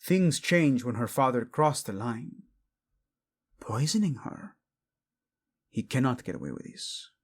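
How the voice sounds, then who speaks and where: Guy doing a brooding king for romance roleplay audio, husky n slow, commanding but tender w his queen. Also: medium pitch, lively intonation. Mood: cold, vengeful. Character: proud, vengeful young king, fiercely protective of his wife.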